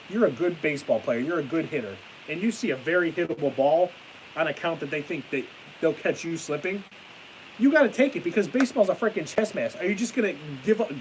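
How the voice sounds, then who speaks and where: A young man chatting on a live stream with a stuffy nose and a fading voice, ranting excitably and then trailing off into tired mumbles. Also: high-pitched, poor audio. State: stuffy-nosed, losing his voice. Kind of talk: casual live stream chat. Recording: hissy home stream mic.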